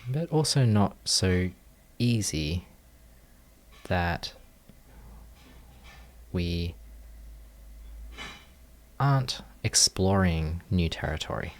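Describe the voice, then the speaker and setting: A man leading a guided meditation, giving gentle, calm instructions in measured phrases with long pauses. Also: deep voice. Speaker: meditation teacher.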